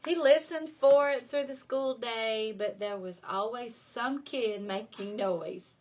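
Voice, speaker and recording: A woman reading a children's storybook aloud like a primary school reader, with dramatic emphasis and drawn-out key words. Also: a little high, muffled recording, a little hiss or hum. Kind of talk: expressive children's storybook narration.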